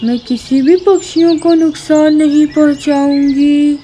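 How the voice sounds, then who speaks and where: A performer voicing an earnest, pleading female eagle with a drawn-out delivery in a children's cartoon story. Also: high voice, fairly even intonation, dark tone, slight background noise.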